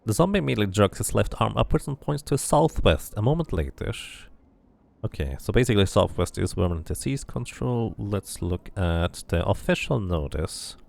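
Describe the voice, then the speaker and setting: Creaky-voiced man narrating a game playthrough. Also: fairly low pitch, animated, moderate pace, darkish tone. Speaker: streamer playing a role-playing game. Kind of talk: let's play, reading game text aloud.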